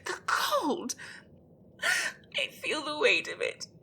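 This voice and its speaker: A desperate gryphon acted by a woman speaks in a fairly high voice. She is frightened and deferential, pleading slowly and haltingly as she stumbles over words in a fantasy audio roleplay. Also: huge pitch swings, bright, forceful tone, full character voice. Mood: yearning. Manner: halting, pleading, breathy stammering.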